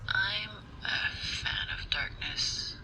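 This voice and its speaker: A woman playing a creepy, sly sneak who lurks in the walls, hushed and menacing, savoring words in a game audio log. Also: medium pitch, very slow, a little hiss or hum, exaggerated voice, rough recording. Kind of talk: whispery audio log monologue.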